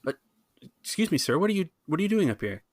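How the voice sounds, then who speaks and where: Man playing a flustered guard, voice pitched up. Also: very animated, no room sound, clean studio sound. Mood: startled, suspicious. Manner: halting, questioning.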